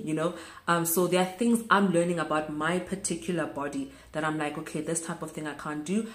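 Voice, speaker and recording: A woman in her thirties from South Africa, chatting casually to camera in a small room and repeating words for emphasis. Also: fairly low pitch. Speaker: vlogger. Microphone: camera close in front. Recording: echoey room.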